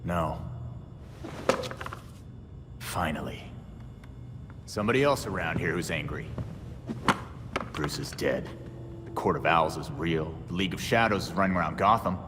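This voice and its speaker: A man voicing an angry young vigilante, speaking in a blunt, gruff and sardonic way. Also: low-pitched, animated, a little slow, pauses often, music in the background. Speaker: game character. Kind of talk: video game cutscene acting. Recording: reverberant game mix with background noise.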